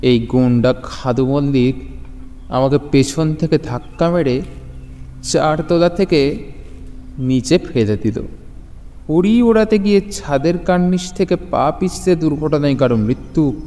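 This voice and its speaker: Slow, echoing ghost played by a man. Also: fairly high pitch, natural voice. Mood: calm, sinister. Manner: slow, measured, calm, with ominous pauses. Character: calm, eerie male ghost avenging his own murder. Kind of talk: dramatised audio horror story. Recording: long hall-like reverb.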